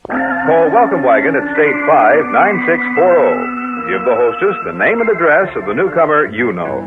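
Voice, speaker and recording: A man's voice: on the high side, very animated, moderate pace, narrow, tinny audio. An American man reading a scripted radio commercial in 1960s style on a thin old recording. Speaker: commercial announcer. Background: music.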